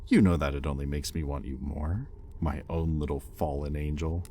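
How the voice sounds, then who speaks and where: A man playing a possessive, conflicted demon in an intimate audio roleplay, with halting, sardonic delivery and secret tenderness. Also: very deep voice, fairly even intonation, mellow, slight background noise. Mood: possessive, intense. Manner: intimate, halting, sardonic, with sighs and muttered curses. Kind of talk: dramatic audio roleplay addressed to the listener.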